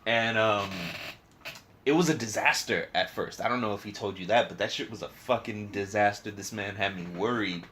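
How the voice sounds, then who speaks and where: A man's voice: on the low side, normal speed, fairly bright tone. A man hosting a casual podcast, chatting informally with slang and frequent swearing. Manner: profane, slangy, informal talk. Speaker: podcast host. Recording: clear background noise.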